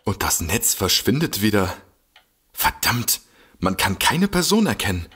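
Sardonischer Detektiv, lebhafte Stimmführung. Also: male voice, on the low side, big pitch swings, unhurried, a bit bright, a little hiss or hum. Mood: wary. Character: sardonic male detective, easily exasperated. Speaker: actor in an audio drama. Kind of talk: comedic audio drama acting.

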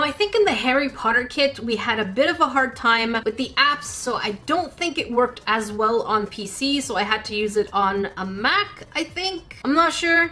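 A woman in her thirties whose first language is French, reviewing a craft kit in a chatty vlog from a home studio, with excited squeals and muttered asides. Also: a little high. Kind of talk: casual unboxing vlog.

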